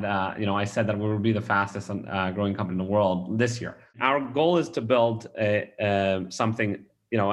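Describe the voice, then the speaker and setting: A man's voice: lowish voice, fairly bright tone. A man from Australia, a startup founder, answering interview questions in a rambling way with many restarts and fillers.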